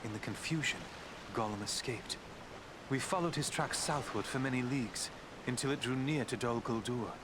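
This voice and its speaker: An actor playing a noble woodland elf prince in a fantasy game, speaking in a formal, measured, solemn manner. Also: male voice, medium pitch, natural voice. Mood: regretful, grave. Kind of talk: fantasy video game character acting. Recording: clear background ambient noise.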